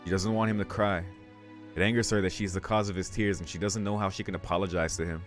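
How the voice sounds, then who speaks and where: A man's voice: deep voice, fairly even intonation, fast talker, faint music behind. A man reading a visual novel aloud as the host of a let's play.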